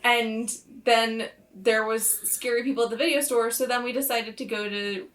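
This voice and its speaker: A woman's voice: fairly high pitch, steady tone, moderate pace, fairly bright tone, lightly acted. A woman playing an indignant, sarcastic teenage daughter in a tabletop game, protesting and blurting things out.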